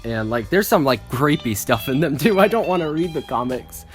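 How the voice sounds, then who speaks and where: A mid-pitched speaker reads aloud in an amused, informal way, prone to giggles. The delivery moves between reading and casual banter. Faint guitar-led music plays behind the voice. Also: lively intonation, normal speed. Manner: giggly, breaks into laughter while reading. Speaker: video creator reading a script aloud. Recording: background music under the voice.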